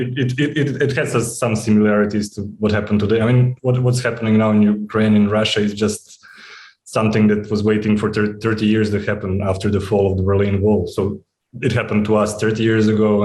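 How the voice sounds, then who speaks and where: A man's voice: fairly low pitch, darkish tone. A man from Serbia in his forties, a film director talking loosely on a festival panel, repeating words as he goes. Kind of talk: unscripted panel answer. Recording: echoey room.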